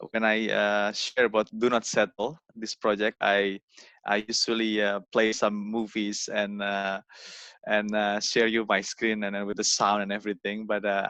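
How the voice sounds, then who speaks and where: A young man from Indonesia, giving an informal talk over a video call, halting with many fillers and repeated words. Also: fairly low pitch, a bit bright, dry recording. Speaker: guest speaker. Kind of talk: informal online presentation.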